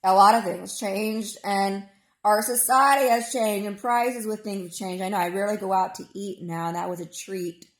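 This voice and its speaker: A woman of thirty-five with a hoarse voice, giving a rambling, opinionated and informal personal monologue as a vlogger. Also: medium pitch, moderate pace, reverberant.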